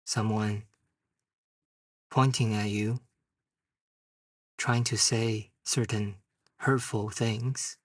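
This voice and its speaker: A man guides a meditation in a lowish, husky voice. He speaks very slowly, in short phrases separated by long pauses. The sound is distant from the microphone. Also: fairly even intonation. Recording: distant mic in a roomy, echoing space.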